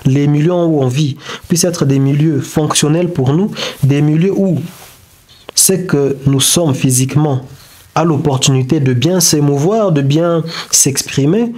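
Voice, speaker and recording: A man whose first language is not French gives a reflective motivational talk, halting and searching for words with many restarts. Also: a little high, darkish tone. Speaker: self-development video creator. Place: indoors beside a whiteboard diagram.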